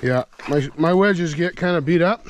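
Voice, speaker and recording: A man's voice: a little high, normal speed, a little hiss or hum. Folksy man in a snowy forest. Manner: folksy, repeats himself, asks the viewer "right?". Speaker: chainsaw vlogger. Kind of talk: casual outdoor show-and-tell. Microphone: helmet-mounted action camera.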